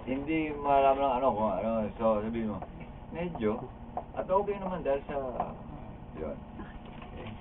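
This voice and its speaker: A man's voice: medium pitch, lively intonation, moderate pace, long pauses. A man with a Filipino accent, coaching others through a radio drama rehearsal in a studio. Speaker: radio drama director and actor. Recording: distant, tinny, noisy behind-the-scenes studio footage.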